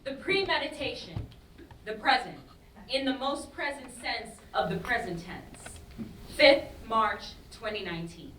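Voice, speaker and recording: A woman's voice: fairly high pitch, expressive, unhurried, sharp tone. A woman reading stage directions as scripted narration, with clipped, dramatic phrasing. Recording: roomy, distant, faint noise.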